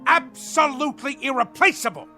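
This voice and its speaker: An actor playing a pompous, navy-obsessed husband in a radio drama, with florid, old-fashioned diction. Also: male voice, very high-pitched, normal speed, pushed and bright, faint music behind, full character voice. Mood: indignant.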